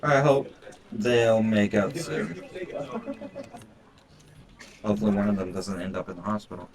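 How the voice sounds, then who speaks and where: A man's voice: on the low side, unhurried. A man doing casual let's-play commentary as a game streamer, reading visual novel text aloud with foul-mouthed, irreverent asides. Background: game music.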